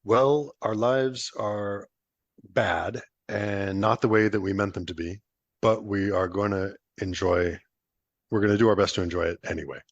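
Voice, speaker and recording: Husky, lowish-voiced man in casual chat. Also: steady tone, normal speed, no echo, muffled recording. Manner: informal, self-deprecating, sweary. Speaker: podcast guest, translator.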